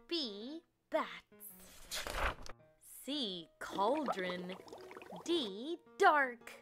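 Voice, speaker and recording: A young woman with a high, bright voice hosts a read-aloud for small children. She speaks very slowly and animatedly, setting each word out clearly, with music behind her. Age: young adult. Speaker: children's read-aloud host and early educator. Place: indoors, in front of a plain blue backdrop.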